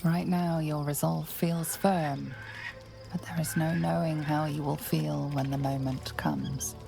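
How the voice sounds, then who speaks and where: A woman playing a brooding storyteller, narrating a fantasy game deliberately and portentously in a gravelly voice over music. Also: flat delivery, dark tone, exaggerated voice. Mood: ominous, hushed. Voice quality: low, gravelly. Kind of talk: scripted second-person game narration.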